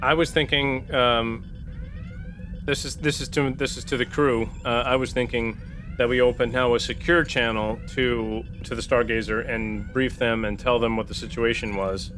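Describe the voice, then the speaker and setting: A man's voice: mid-range voice, steady tone, normal speed, a bit bright, slight background noise. A man in casual game-table discussion, talking as a player and tentatively thinking aloud.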